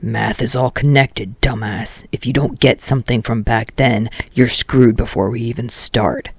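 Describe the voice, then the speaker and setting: A man acting out a hot-headed, foul-mouthed teenage boy from an audiobook. He is blunt and scolding, with a husky growl full of gravel, captured close and dry. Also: medium pitch, steady tone, unhurried, no room sound. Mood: gruff, impatient. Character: brash, foul-mouthed, short-tempered teenage boy tutoring a classmate. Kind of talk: acted dialogue in fan fiction audiobook.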